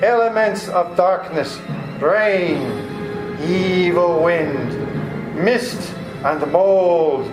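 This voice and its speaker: A man playing an ancient, commanding vampire count in an old-time radio drama, chanting in a portentous way. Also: sits high. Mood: dark incantation. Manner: slow, incantatory, portentous. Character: ancient male vampire count, commanding and lonely, summoning darkness. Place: live performance in a public library. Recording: roomy live stage, music behind.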